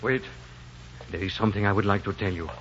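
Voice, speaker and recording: A man's voice: on the low side, fairly even intonation, fast talker, a bit bright, close to an everyday voice. An actor playing a loyal, earnest French-Canadian backwoodsman in a 1940s radio drama. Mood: grave, confiding. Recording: dull noisy 1940s radio recording.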